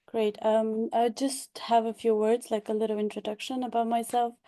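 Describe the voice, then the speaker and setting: A woman's voice: fairly high pitch, flat delivery, normal speed, dark tone. A young woman, speaking hesitantly with many fillers about her experience over a video call. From Bulgaria. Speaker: past delegate and graduate scholar. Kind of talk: online information session talk.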